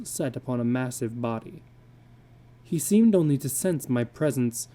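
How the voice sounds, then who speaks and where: A man narrating a literary story as a dramatic reading, with measured, deliberate phrasing. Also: medium pitch, warm tone.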